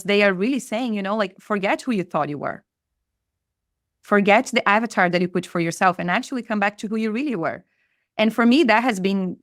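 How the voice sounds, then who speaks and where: A woman's voice: mid-range voice, no room sound. Brazilian woman, Portuguese native, earnest podcast guest, dry close sound. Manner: earnest, repeats phrases for emphasis. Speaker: spiritual mentor guest. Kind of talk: reflective podcast conversation.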